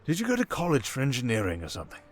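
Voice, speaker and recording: A man playing a suave, manipulative criminal mastermind in an intimate ASMR roleplay, with a coaxing, condescending, theatrical delivery full of drawn-out pauses. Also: medium pitch, huge pitch swings. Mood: amused, nostalgic. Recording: music behind the voice. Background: music.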